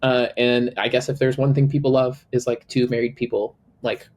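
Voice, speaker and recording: A man co-hosting a casual podcast chat, talking in a loose, rambling way full of fillers, with a slightly high, a little nasal voice. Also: level tone, normal speed, darkish tone. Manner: chatty, filler-heavy, lots of "like". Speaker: co-host.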